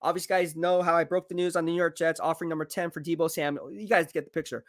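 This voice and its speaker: A man live-streaming football draft commentary, excitable and hyping each pick, his voice going hoarse. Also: fairly high pitch, very fast, no room sound, studio quality. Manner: excitable, hypes picks, reads chat aloud. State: losing his voice.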